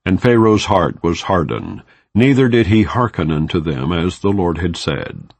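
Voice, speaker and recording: A man with a low voice reads scripture in a solemn, deliberate manner. His pitch moves widely through each phrase, rising and dropping with the verse. The sound is very dry, with no room around it, as in a plain audiobook narration. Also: very animated, gravelly, no room sound. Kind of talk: non-dramatized scripture reading.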